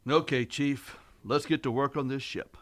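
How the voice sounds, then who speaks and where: A courteous, composed starship captain played by a man in a sci-fi radio play. He has a mid-range voice and gives orders in a measured, polite, authoritative way. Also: mid-range voice, steady tone, a bit bright, natural voice. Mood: decisive. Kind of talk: science fiction radio drama acting.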